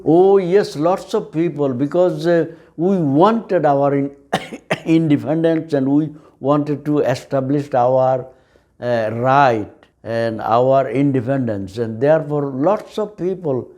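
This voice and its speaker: Halting older Bangladeshi man, mellow and unhurried. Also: fairly high pitch. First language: Bengali. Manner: halting, hesitant, repeating words in simple phrasing. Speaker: oral history interviewee. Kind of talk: recollection in an interview.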